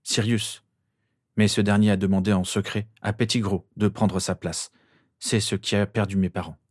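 A man's voice: fairly low pitch, steady tone, normal speed, a little mellow, no echo, clean studio sound, lightly acted. A man voicing an earnest, wounded teenage boy wizard in an audiobook, with a defensive manner. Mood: reluctant.

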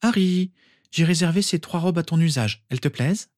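An emotional, warm-hearted storybook mother, acted out by a man, raised well in pitch. She questions and exclaims emphatically, in a dry, close recording. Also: brisk, no room sound, lightly acted. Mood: brisk, kindly.

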